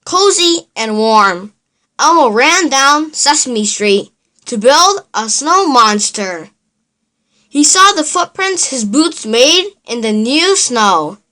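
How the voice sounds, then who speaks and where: A woman reads a picture book aloud for young children in a high, bright voice. She tells it slowly and expressively, with lots of melodic movement. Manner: expressive, deliberate storytelling. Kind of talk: storybook read aloud for kids.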